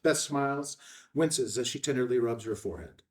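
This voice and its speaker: A man with a mid-range voice reads out screenplay directions as narrator. His phrases are measured and clipped, dropping at their ends, and he sounds a little away from the microphone. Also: mid-range voice, recorded from a distance.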